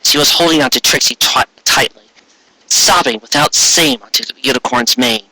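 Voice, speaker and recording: A man's voice: a little high, big pitch swings, normal speed, gravelly, sharp tone, a little hiss or hum, rough recording. A man reading a scripted fan fiction story aloud as its narrator.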